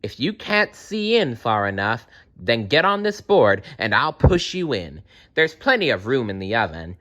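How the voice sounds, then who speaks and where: A man's voice: mid-range voice, big pitch swings, measured pace, bright, forceful tone. A man voicing a wicked old witch in a storybook, commanding and scheming. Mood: coaxing, scheming. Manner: commanding, menacing. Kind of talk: storybook character voice in a read-aloud.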